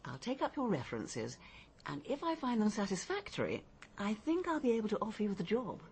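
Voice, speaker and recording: A woman's voice: medium pitch, wildly swooping pitch, a little fast, a little mellow, a little hiss or hum. A woman playing a proper, proud English proprietor of a cleaning agency in a radio drama, speaking formally and precisely. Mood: prim, assured.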